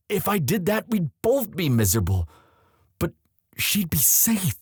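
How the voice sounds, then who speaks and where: A man playing a lovestruck young prince in an intimate audio roleplay, tender and protective, with a halting, emotive delivery. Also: on the high side, slightly rough, dry recording, clean studio sound, full character voice. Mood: anguished. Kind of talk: boyfriend ASMR audio roleplay drama.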